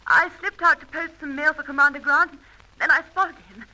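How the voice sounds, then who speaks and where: A woman's voice: high voice, fairly quick. An actress playing a frightened young woman on a telephone in a radio serial. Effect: telephone filter. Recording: thin, sharp telephone line.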